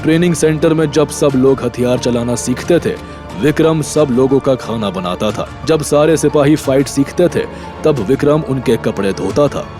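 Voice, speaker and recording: A man's voice: medium pitch, moderate pace. Man narrating dramatically over faint music.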